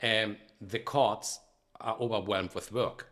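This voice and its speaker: A middle-aged man with a German accent, a lawyer talking as a guest in an interview with many pauses and fillers. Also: fairly low pitch, fairly even intonation, fairly bright tone. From Galicia, Spain, raised in Germany. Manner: hesitant, many ums and uhs. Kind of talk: casual interview talk.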